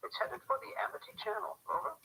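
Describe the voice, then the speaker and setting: An actor playing a strained, disbelieving ferry captain with a raspy voice, heard through a radio filter in a radio drama. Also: male voice, fast, pushed and bright, in a whisper, low-quality recording. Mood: alarmed.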